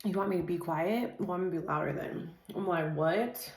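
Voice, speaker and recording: A woman doing a casual tarot reading, talking in a loose, rambling way full of "like" and "dude", with a naturally loud voice. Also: fairly low pitch, a little fast, reverberant. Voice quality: says she has a naturally loud voice. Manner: chatty, loose, filler-heavy, says "dude" and "like" constantly. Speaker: tarot reader.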